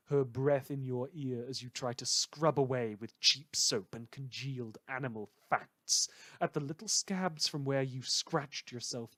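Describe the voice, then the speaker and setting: A man playing a brooding, dramatic host of a post-apocalyptic town radio show, delivering theatrical monologues with heavy pauses. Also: medium pitch, no echo, natural voice. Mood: hushed, haunted. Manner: theatrical, dramatic pauses, swinging from hushed to loud. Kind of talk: fictional community radio broadcast monologue.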